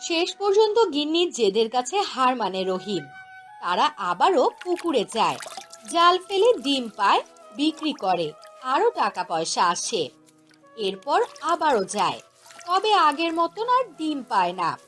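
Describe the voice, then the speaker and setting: A woman narrates a cartoon tale from a script. Her delivery is slow and lively in intonation, with music underneath. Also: high voice.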